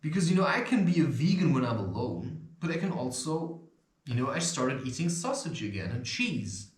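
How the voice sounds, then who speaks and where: A man's voice: mid-range voice, sing-song, moderate pace. A man with an occasional stutter giving loose, rambling spiritual advice, swearing and chuckling as he talks. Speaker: self-help video host. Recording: echoey room.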